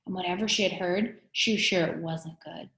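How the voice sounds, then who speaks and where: A woman's voice: lowish voice, steady tone, normal speed, fairly bright tone. A woman reading a children's story aloud in a storytelling style. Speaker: reader of a children's book. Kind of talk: read-aloud storytelling with character voices. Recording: echoey room.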